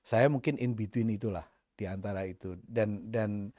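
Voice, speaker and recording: A middle-aged man with a faint East Javanese accent speaks in a low, mellow voice. He answers reflectively, with frequent fillers and restarts, as a podcast guest. The sound is dry and close, with no room around it. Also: steady tone, moderate pace, no echo. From East Java, Indonesia. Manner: thoughtful, hesitant, many fillers and restarts. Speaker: guest, senior finance official. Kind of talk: podcast interview chat.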